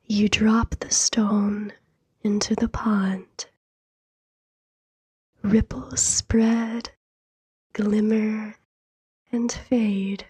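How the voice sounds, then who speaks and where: Very slow, breathy whispering woman. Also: medium pitch, level tone, no echo. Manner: hushed, soothing, unhurried with long pauses. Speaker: guided sleep meditation narrator. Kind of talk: whispered, scripted sleep meditation narration.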